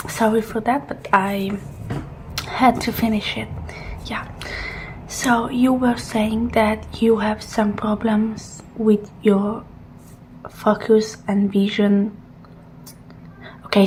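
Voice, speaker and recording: A woman speaking softly and gently in a halting, reassuring ASMR roleplay, slipping between quiet voice and whisper. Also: medium pitch, a little hiss or hum, rough recording. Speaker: ASMR performer playing a robot repair technician.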